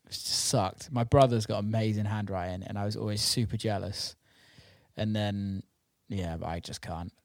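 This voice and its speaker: A man's voice: lowish voice, steady tone, normal speed, dry recording. Casual, sweary man chatting, dry close sound. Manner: sweary, matey, informal. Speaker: interviewer and host. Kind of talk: casual podcast chat.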